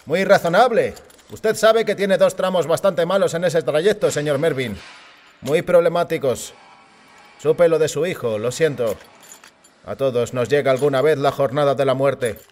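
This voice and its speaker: A man's voice: a little high, moderate pace, darkish tone, pitched above the performer's own voice, lightly acted. A man voicing a burly Chicano car mechanic in an audiobook, respectful, obliging and businesslike. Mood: respectful, grave. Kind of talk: audiobook character voice.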